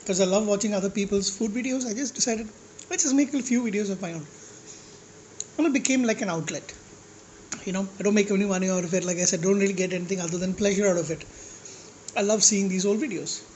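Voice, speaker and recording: A man with a slightly high-pitched voice, ranting casually to his vlog audience in a rambling, blunt way and swearing at critics. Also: fast, darkish tone, a little hiss or hum, poor audio. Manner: rambling, with restarts and asides. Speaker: food vlogger. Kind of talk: casual rant to camera while eating.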